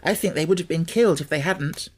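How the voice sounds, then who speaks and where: A man's voice: on the high side, fast talker, slightly rough. A man voicing an inquisitive young English girl in an audiobook, direct and thoughtful.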